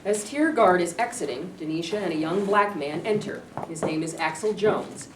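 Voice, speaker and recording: A woman reading stage directions aloud at a staged play reading, plain and measured. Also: lowish voice, expressive. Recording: echoey room, distant mic, background noise.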